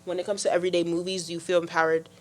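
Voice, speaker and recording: A teenage girl with a deep voice, hosting a youth podcast in casual talk, full of fillers and restarts. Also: flat delivery, racing, soft background music. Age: teens. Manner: chatty, many fillers and restarts. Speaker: podcast host. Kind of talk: casual discussion.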